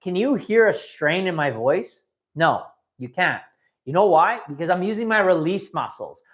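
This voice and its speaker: A man's voice: sits high, lively intonation, normal speed. A man giving a live training talk as a dating coach, emphatic and repetitive, often checking in with his listeners.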